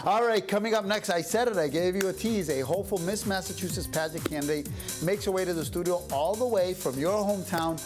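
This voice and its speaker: A man's voice: high-pitched, fairly quick, music in the background. A man hosting a TV talk show, interviewing guests in a playful, teasing way. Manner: playful, teasing, slips into Spanish. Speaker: TV show host. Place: TV studio.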